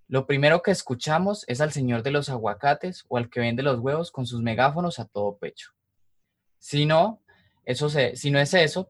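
A male podcast host with a Colombian accent from Cali. His voice sits at a middle pitch, and he speaks in an informal narrating style.

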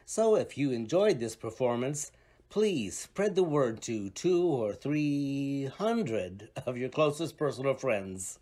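Husky, lively male radio announcer. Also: medium pitch, slow. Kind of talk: scripted vintage radio announcing.

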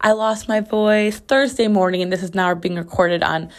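A young woman hosting a casual solo podcast from her bathroom, her voice slightly raspy as it recovers from being lost, chatting in a rambling way full of "like"s. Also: mid-range voice, fairly even intonation, moderate pace. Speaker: podcast host. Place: home bathroom.

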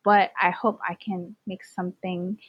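A woman's voice: on the low side, level tone, unhurried, slightly rough, dry recording, muffled recording. Young woman, earnest guest, lots of "like", dry close recording. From Hong Kong. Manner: earnest, many fillers like "you know" and "like". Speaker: guest, community farm organizer. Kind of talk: casual interview conversation.

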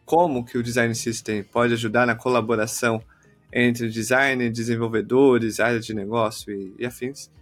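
A man's voice: medium pitch, flat delivery, normal speed, faint music behind. A man, hosting a podcast and asking playful, provocative questions. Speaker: podcast host. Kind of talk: interview question.

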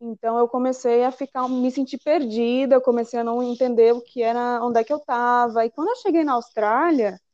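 A young adult Brazilian woman talking in a casual, expressive and emphatic way about personal things. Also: fairly high pitch, a little fast, few pauses, no echo, lo-fi sound.

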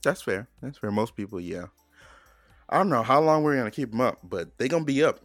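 A man's voice: medium pitch, lively intonation, a little fast, no room sound, faint music behind. A young man co-hosting a podcast in casual chat, playful and jokey, sometimes breaking into silly sing-song. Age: young adult. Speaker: podcast co-host.

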